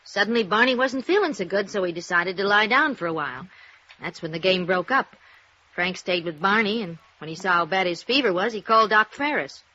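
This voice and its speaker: A woman's voice: medium pitch, animated, fairly quick, bright, forceful tone. A woman playing a plain-spoken, guarded working-class gal in a 1950s radio noir, with casual, clipped, folksy phrasing. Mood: explaining, guarded. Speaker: radio drama supporting actress. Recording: dull vintage radio recording.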